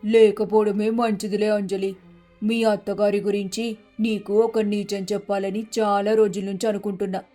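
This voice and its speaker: A woman's voice: medium pitch, level tone, normal speed, mellow, soft background music, lightly acted. A woman playing a gossipy, scheming neighbour in a moral story, speaking in an insinuating, confiding way. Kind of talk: scripted storybook acting.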